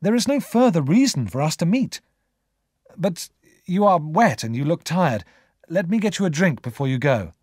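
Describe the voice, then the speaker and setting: A man voicing a polite, guarded young gentleman in his twenties in an audiobook, formal and emphatic. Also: a little high, big pitch swings, normal speed, dry recording, clean studio sound, pitched above the performer's own voice. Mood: firm, uneasy.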